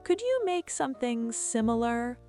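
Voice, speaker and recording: A woman's voice: on the high side, sing-song, moderate pace, mellow, faint music behind, pitched above the performer's own voice. A woman voicing a large, cheerful, indulgent father in a bedtime story, hearty and folksy. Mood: hesitant. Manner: hearty, folksy, mock-stern.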